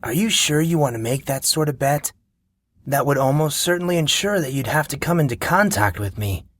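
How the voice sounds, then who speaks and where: A man's voice: on the high side, animated, fairly quick, a bit bright, no echo, studio quality, voice pushed up. A man voicing a cocky, sarcastic young man student who talks in a mocking, needling way.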